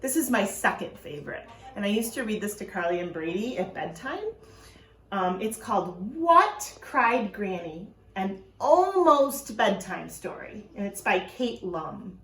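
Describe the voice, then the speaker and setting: A woman's voice: mid-range voice, sing-song, unhurried, fairly bright tone, slight background noise. A woman elementary school teacher talking informally to her pupils and reading aloud, addressing the children directly. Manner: addresses children directly, asks them questions. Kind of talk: informal video message and read-aloud for pupils. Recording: echoey room.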